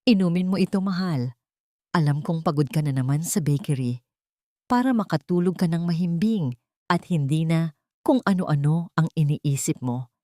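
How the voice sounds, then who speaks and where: Woman voicing a coaxing, deceitful husband, low-toned. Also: moderate pace, mellow, no echo, clean studio sound, natural voice. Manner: coaxing, falsely caring. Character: deceitful husband feigning tender concern.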